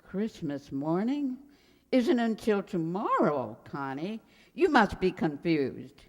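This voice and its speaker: A woman's voice: fairly low pitch, sing-song, takes it slowly, heavily acted. A woman playing a sweet, forgetful elderly landlady who dithers and rambles in a radio comedy. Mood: muddled. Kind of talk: radio comedy acting, old-time sitcom revival. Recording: very reverberant hall sound.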